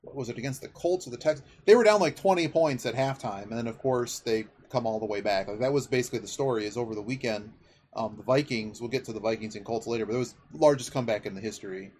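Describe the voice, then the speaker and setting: A man's voice: mid-range voice, fast, a little mellow, breathy edge. A man hosting a casual sports betting chat, talking in a rambling, analytical way as he thinks aloud. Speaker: podcast host.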